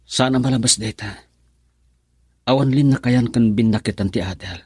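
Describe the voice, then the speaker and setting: A man's voice: mid-range voice, moderate pace, a little mellow, husky, no room sound, studio quality, close to an everyday voice. An actor in an Ilocano radio drama playing an earnest, reassuring young man running away with his sweetheart.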